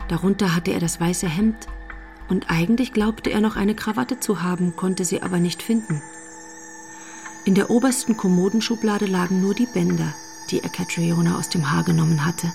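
A woman's voice: on the low side, fairly even intonation, normal speed, gravelly, darkish tone, soft background music. An actress playing the composed storyteller of a crime radio drama, a woman reading scripted literary narration. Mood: composed, grave.

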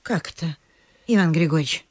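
A woman's voice: low voice, fairly quick, breathy edge. A woman playing a proud, fussy middle-aged landowner's wife in a Russian radio vaudeville, eager and theatrical. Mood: astonished.

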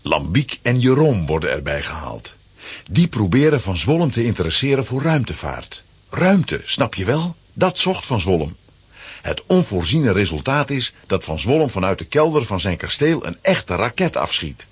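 Very raspy, animated male narrator. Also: fairly low pitch, moderate pace. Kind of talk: scripted children's story narration.

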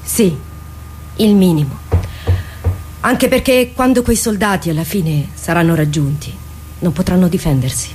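A woman playing a loyal soldier in a radio drama, speaking in an earnest, measured and emotional way. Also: fairly low pitch, darkish tone, a little hiss or hum, lightly acted. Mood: dutiful, sober. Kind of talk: theatrical radio drama monologue.

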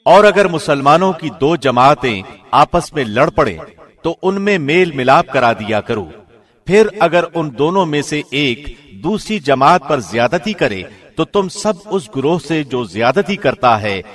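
A man's voice: on the high side, expressive, fairly bright tone. A man reading a scripture translation from a script, in the solemn style of a radio presenter.

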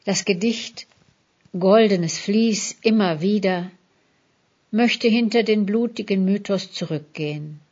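A woman reading a scripted literary feature as a presenter, in a measured, articulate manner with deliberate emphasis. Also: mid-range voice, a little mellow, lo-fi sound.

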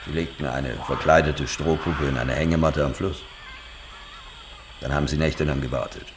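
An actor playing a grave local man in a radio drama, speaking tersely and matter-of-factly about dark events. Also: a bass voice, fairly even intonation, moderate pace, background noise.

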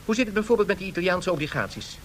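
An actor playing an excitable, harried male aide in a 1970s radio drama, theatrical and expressive. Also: very fast. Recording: vintage radio recording, low rumbling noise.

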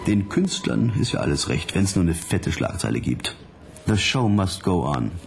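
A man's voice: low voice, big pitch swings, moderate pace, creaky, slight background noise, full character voice. An actor playing a wry, hard-boiled pop detective who narrates his case in a dry, laconic way in a radio drama.

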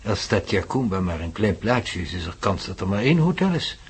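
An actor in a radio play playing a calm, cautious professor who gives advice in a measured, courteous way. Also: male voice, low-pitched, slightly rough, exaggerated voice. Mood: thoughtful.

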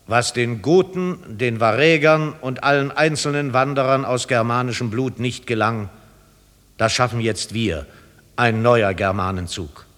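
Through a long hall reverb, a man plays a fanatical Nazi orator. His medium-pitched voice is pompous and declamatory, a propaganda speech in a radio play. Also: unhurried, a bit bright, natural voice. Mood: fanatical, exalted. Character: fanatical male Nazi orator glorifying the Germanic race.

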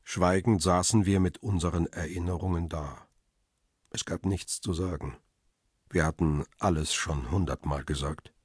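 A man's voice: deep voice, steady tone, unhurried, husky, dry recording, studio quality. A man narrating a crime radio play in the first person and playing its brooding lead.

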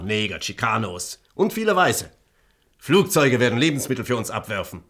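A man's voice: fairly low pitch, fairly bright tone. An actor playing an idealistic male activist leader in a German radio play, arguing in a persuasive, declamatory way.

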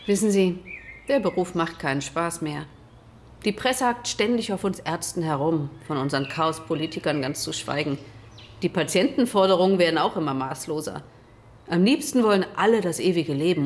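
A woman playing a bitter, evasive middle-aged doctor in a radio drama, with a cool, measured and complaining manner. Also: lowish voice, animated, a little hiss or hum. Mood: bitter, disdainful. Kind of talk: naturalistic radio drama acting.

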